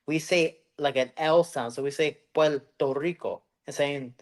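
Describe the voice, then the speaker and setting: A man from the United States co-hosting a podcast, telling stories in a rambling, animated way and slipping into put-on voices. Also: fairly high pitch, a little slow. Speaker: podcast co-host. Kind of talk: casual chat.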